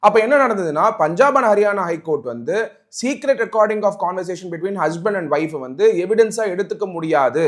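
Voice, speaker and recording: A man's voice: sits high, expressive, unhurried. A man with a Tamil accent giving an explanatory legal talk, didactic, restating key points as he goes. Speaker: advocate presenting a legal explainer.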